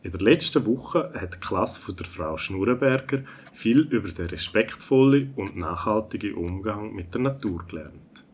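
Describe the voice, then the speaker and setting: A man's voice: lowish voice, lively intonation, normal speed, few pauses, a little hiss or hum. A man with a Swiss German accent narrating a scripted children's story.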